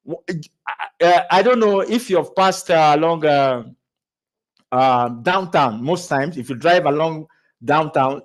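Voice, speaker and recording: A man giving a motivational talk on public speaking, animated, with lots of restarts and repeated words, laughing as he goes. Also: sits high. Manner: laughs while talking. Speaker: speaking coach.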